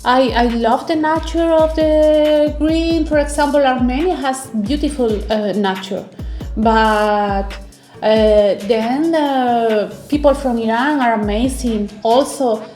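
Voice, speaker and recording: A woman, answering interview questions in thoughtful, unhurried English. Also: high-pitched, roomy sound, faint music behind. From Spain. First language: Spanish. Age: 40s. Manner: unhurried, thoughtful, laughs softly. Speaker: interviewee, overlander. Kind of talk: casual interview.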